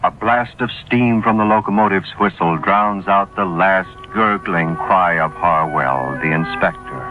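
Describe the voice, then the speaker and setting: A very deep-voiced man delivers melodramatic, literary narration in the manner of old-time radio, with music clearly playing behind him. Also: unhurried, poor audio.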